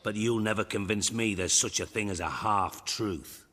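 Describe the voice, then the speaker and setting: A man's voice: fairly low pitch, normal speed, sharp tone, in a large hall. An actor playing a sly, sarcastic and urbane male schemer in a video game, speaking with florid mock politeness and a crude streak. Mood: sarcastic, skeptical.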